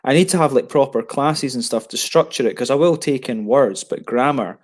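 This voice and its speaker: A man's voice: medium pitch, brisk. A man in his thirties chatting casually on a video call through a headset, rambling with lots of restarts and fillers. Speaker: beer reviewer on a video hangout. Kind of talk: casual chat.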